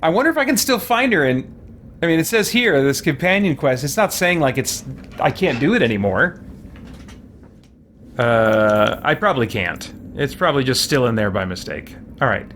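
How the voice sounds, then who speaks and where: A man giving casual live gameplay commentary, muttering and thinking out loud as he plays. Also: mid-range voice, very animated, moderate pace, slight background noise. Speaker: let's-play streamer.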